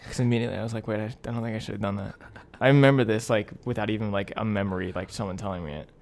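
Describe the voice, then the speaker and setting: A man's voice: on the low side, steady tone, rapid-fire, darkish tone. A young American man co-hosting a podcast, chatting casually in slangy, informal, bro-heavy language. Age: young adult. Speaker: podcast co-host.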